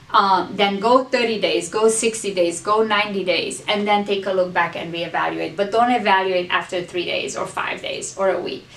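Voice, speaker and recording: A woman's voice: mid-range voice, fairly bright tone, distant mic. A woman hosting a motivational talk, speaking earnestly to her viewers and repeating key phrases for emphasis as she coaches them. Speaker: host. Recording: echoey room, voice off-mic.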